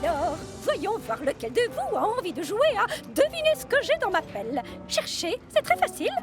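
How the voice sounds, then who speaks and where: A woman's voice: very high voice, huge pitch swings, normal speed, over music, full character voice. A woman voicing a chatty, boastful female steam shovel in a children's cartoon, with a gushing, theatrical storytelling manner. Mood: playful. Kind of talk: children's animated series dialogue.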